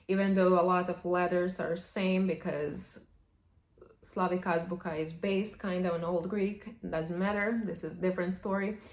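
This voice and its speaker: A woman's voice: fairly low pitch, darkish tone. Woman w/ giving language tips to camera, chatty, keeps saying however, echoey room. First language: Serbian. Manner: chatty, opens many sentences with "however". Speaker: language vlogger. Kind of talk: casual advice to camera.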